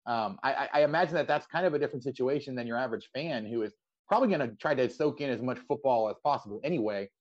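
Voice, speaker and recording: A man's voice: mid-range voice, fast talker, lo-fi sound. A man hosting a casual sports interview podcast, stammering his way into long, wordy questions.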